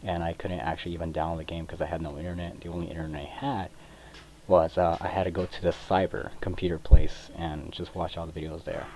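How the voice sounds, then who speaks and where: Low, mellow young man rambling unsure. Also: little pitch movement, fast talker, a little hiss or hum. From Mexico. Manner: rambling and hesitant, many fillers, soft laughs. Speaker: gaming video creator. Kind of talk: casual gameplay commentary. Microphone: cheap computer microphone. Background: controller clicks.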